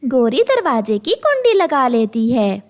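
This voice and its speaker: A woman's voice: sits high, big pitch swings, measured pace, darkish tone. A woman narrating a scripted children's story.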